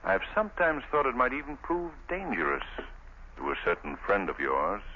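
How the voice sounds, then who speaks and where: An actor playing a calm, thoughtful gentleman detective, speaking in a measured, explanatory way in a 1930s radio drama. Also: medium pitch. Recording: tinny old radio broadcast, faint hiss.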